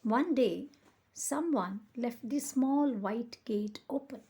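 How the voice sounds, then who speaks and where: Woman, high voice, slightly husky and breathy, expressive children's read-aloud, deliberate emphasis. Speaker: storyteller reading aloud for children.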